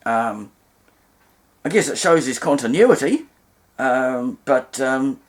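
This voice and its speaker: Higher-pitched man giving a casual demonstration. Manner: rambling, explanatory, lists alternative terms. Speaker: presenter demonstrating vintage radio testing. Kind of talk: casual hands-on demonstration commentary.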